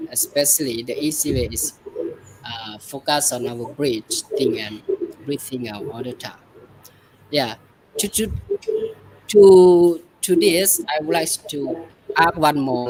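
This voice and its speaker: A moderator of an online panel who asks questions haltingly, repeating words and adding short acknowledgements. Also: female voice, rumbling low, noisy background.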